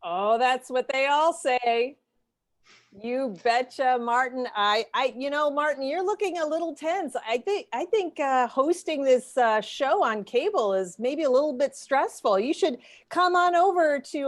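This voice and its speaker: A woman playing a coy, suggestive massage parlor owner as a guest in an improvised game show parody. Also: high-pitched.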